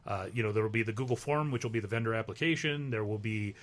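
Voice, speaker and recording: A man co-hosting a live broadcast, speaking in a measured, hesitant way with many pauses and fillers, and joking now and then. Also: lowish voice. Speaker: co-host and event organizer. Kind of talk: casual live broadcast chat.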